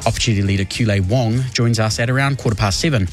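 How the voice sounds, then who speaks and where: A man's voice: on the low side, fast talker, soft background music. A young man from West Auckland with a New Zealand accent, guest-hosting a morning radio show in an upbeat, easygoing way. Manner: upbeat, easygoing, with Pacific greetings. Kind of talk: radio show presenting and casual banter. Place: radio studio.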